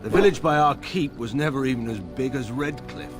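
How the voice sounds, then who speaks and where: An actor as a stern, weary general, swooping intonation. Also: male voice, mid-range voice, lively intonation, over music, lightly acted. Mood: wistful, fond. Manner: grave, measured, formal, dryly sardonic. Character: proud, weary, stern middle-aged general and father. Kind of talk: dramatic fantasy game dialogue.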